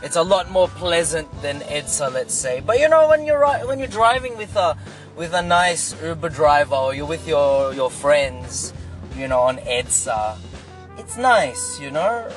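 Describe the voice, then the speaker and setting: A young man with a Filipino English accent talks casually from behind the wheel, padding his stories with "you know." His voice sits a bit on the high side. Soft music plays faintly behind him. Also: very animated, a little slow. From Australia. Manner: rambling, chatty, lots of "you know". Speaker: rideshare driver vlogger. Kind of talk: casual storytelling vlog. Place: in a car, stuck in traffic.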